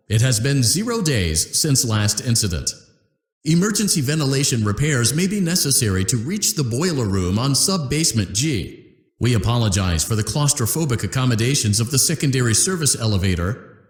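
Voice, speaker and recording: An actor playing a cheery male corporate training announcer with a polished sales-pitch delivery in a video game. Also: close to an everyday voice. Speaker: company announcer. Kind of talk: scripted game voice lines. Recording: roomy echo.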